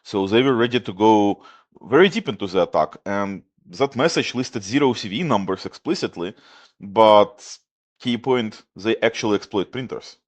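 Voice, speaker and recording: A man's voice: lowish voice, moderate pace. A man with a Russian accent, a native Russian speaker, explaining his research as a guest on a tech podcast. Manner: explains plainly in a steady way, with some filler "like". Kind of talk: casual tech podcast chat.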